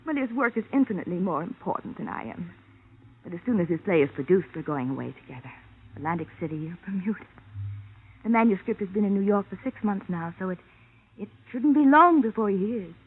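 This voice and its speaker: A woman's voice: medium pitch, expressive, quick pace, slightly rough. A woman with an American accent playing a naively devoted, defensive wife in a vintage radio drama, earnest and emotional. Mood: wistful devotion. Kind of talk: 1950s radio drama acting. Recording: dull, lo-fi old radio recording.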